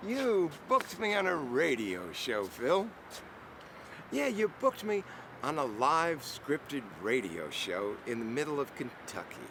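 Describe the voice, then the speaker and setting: Snooty sarcastic ny stage actor, tense bright voice, really animated, bit of noise behind. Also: male voice, on the high side, slow pace, exaggerated voice. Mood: indignant. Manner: sarcastic, indignant. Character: pompous, sarcastic, disgruntled New York stage actor.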